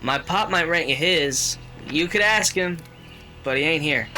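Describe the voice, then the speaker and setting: A man's voice: a little high, unhurried, music in the background, lightly acted. An actor playing a guarded young rural man with terse, folksy speech in an audio drama. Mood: guarded, ominous. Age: young adult.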